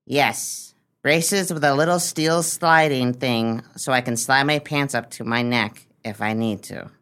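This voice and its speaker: A woman's voice: extremely low pitch, measured pace, fairly bright tone, exaggerated voice. A woman playing an innocent, hopeful young boy in a comic radio play, speaking in an earnest, childlike way. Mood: bravely cheerful.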